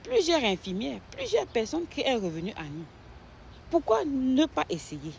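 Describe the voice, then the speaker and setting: Woman from Cameroon, sales pitch, lively up-and-down voice, faint traffic behind. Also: normal speed, vocal fry. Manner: persuasive, addressing the listener directly. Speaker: nurse promoting an online business.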